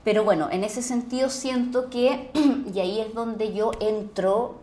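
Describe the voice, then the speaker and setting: A Chilean woman talking as a podcast guest, reflective and casual, with searching, halting phrasing. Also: on the high side, mellow. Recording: roomy echo, faint background noise.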